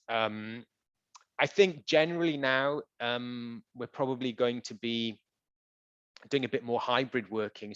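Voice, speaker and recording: A man who works as a translator, answering audience questions in a relaxed, conversational way with frequent ums and uhs. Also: lowish voice, dry recording. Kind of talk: conversational Q&A presentation. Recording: dull, dry lo-fi audio.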